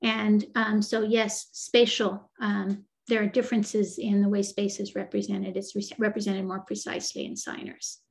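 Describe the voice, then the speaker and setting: A woman's voice: medium pitch, flat delivery, normal speed, vocal fry, hardly any pauses. An older woman, a psychologist, answering questions on a video call in a thoughtful, explanatory way with frequent fillers and restarts. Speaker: developmental psychologist guest.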